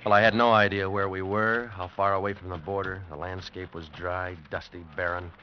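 A man's voice: deep voice, level tone, a little fast, slight background noise, lightly acted. An actor playing a hard-luck American drifter who tells his story in a terse, brooding 1940s noir radio style. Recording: dull vintage radio recording. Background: dramatic music.

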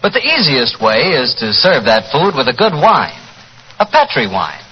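Guy radio announcer, animated sales pitch, echoey muffled old broadcast. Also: moderate pace. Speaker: announcer and host reading sponsor ad. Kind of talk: 1940s radio advertising pitch. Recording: reverberant, muffled, noisy 1940s radio recording.

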